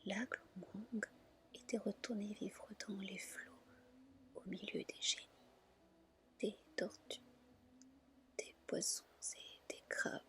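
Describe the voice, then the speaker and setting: A woman softly and gently reading a fairy tale as an ASMR storyteller. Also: medium pitch, fairly even intonation. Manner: soft, gentle, unhurried narration.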